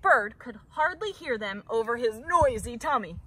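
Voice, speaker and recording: A woman reading a picture book aloud for children, narrating in an expressive, deliberate storytelling way. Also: fairly high pitch, breathy edge. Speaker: storybook reader.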